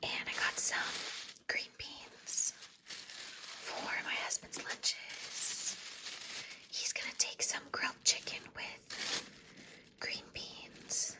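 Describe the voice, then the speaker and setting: A woman giving a whispered ASMR grocery haul, speaking in a soft, unvoiced whisper at her kitchen counter. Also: slow, long pauses. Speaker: ASMR creator. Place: home kitchen counter indoors.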